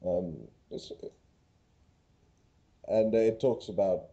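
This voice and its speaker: A man's voice: lowish voice, fairly even intonation, drawn-out words, warm tone. A man hosting a casual podcast. He talks in an easygoing way, laughs often and uses lots of fillers. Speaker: podcast host. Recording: dull lo-fi sound.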